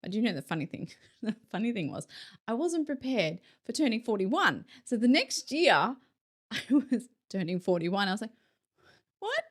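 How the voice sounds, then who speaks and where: A woman's voice: a little high, big pitch swings, normal speed. A woman in her forties hosting a casual solo podcast, chatty and self-deprecating, laughing often between her thoughts. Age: 40s. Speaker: podcast host.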